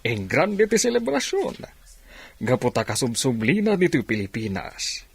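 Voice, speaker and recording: A man playing an easygoing, teasing uncle in an Ilocano radio drama, with exclamations and drawn-out words. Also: medium pitch, wildly swooping pitch, vocal fry, husky, no room sound, heavily acted. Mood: persuasive.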